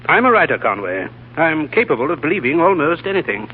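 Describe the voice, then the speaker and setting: A genteel writer who speaks crisply and properly. His pitch swings lively across lines, and the sound is thin and phone-like, as on an old radio broadcast. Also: brisk, slight background noise. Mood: explaining. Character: brisk English writer, genteel, solicitous. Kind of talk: 1930s radio drama acting.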